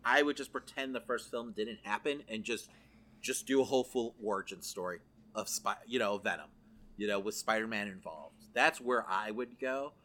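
A man's voice: fairly low pitch, moderate pace, bright, forceful tone. A man co-hosting a podcast, chatting casually through entertainment news. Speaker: podcast co-host.

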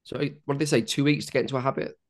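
A man co-hosting a podcast, chatting quickly and repeating words as he talks. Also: mid-range voice, steady tone. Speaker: podcast co-host. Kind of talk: casual podcast chat.

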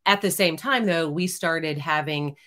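A woman in her fifties from Texas, a former news anchor speaking as a guest, halting at times and repeating words. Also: low voice, fairly bright tone. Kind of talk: casual interview conversation.

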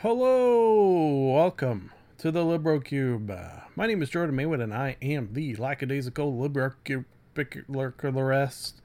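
Man, podcast host, creaky voice, lively intonation, casual, rambling, self-deprecating. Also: medium pitch, unhurried.

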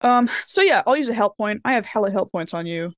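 A woman's voice: medium pitch, lively intonation, brisk, no room sound. Woman bantering at a tabletop game, dry sound. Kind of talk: casual banter during a game.

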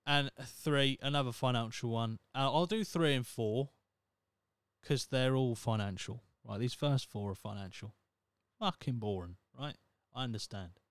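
A man's voice: medium pitch, unhurried, clear, resonant tone, no room sound. A thirty-year-old man, rambling on his solo podcast in a wry, self-mocking way with plenty of swearing. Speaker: podcast host. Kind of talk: casual solo podcast monologue.

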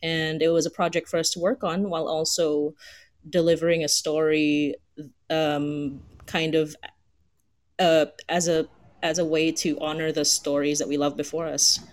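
A woman's voice: deep voice, flat delivery, normal speed. A woman podcast creator chatting casually as a panel guest, with an enthusiastic, giggly manner.

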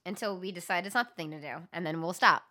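A woman's voice: on the low side, quick pace, pushed and bright. A woman co-hosting a podcast in a casual chat, talking loosely with many ums and fillers; her home language is Gujarati. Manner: chatty, lots of ums and filler. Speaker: podcast co-host.

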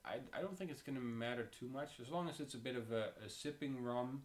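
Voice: mid-range voice, fast talker. A podcast co-host speaking English as a second language, chatting casually with frequent restarts and questions. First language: not English. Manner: stammering restarts, asks lots of questions. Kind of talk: casual chat.

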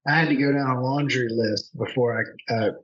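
Husky-voiced male podcast host. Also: medium pitch, fairly even intonation, a little fast, fairly bright tone, dull audio. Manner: chatty, rambling with frequent restarts. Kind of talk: casual interview chat.

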